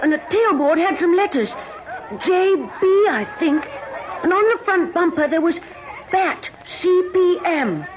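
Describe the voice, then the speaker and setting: Very high-pitched, eager schoolboy. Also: female voice, lively intonation, a faint crowd. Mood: eager, polite. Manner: polite, halting as if remembering. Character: eager, observant, polite young schoolboy. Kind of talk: old time radio acting. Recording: muffled, noisy old radio recording.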